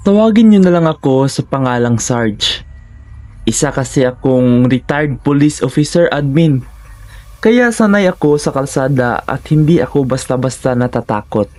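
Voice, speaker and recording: A man's voice: mid-range voice, animated, moderate pace, a little mellow. A Filipino man narrating a first-person horror story in a dramatic, suspenseful storytelling manner.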